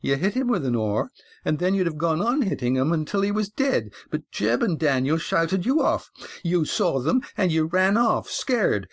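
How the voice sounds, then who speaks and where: A man's voice: on the high side, big pitch swings, normal speed, voice pushed up. A man voicing a spirited, defiant teenage village girl who speaks in an accusing, insistent way in a storybook reading.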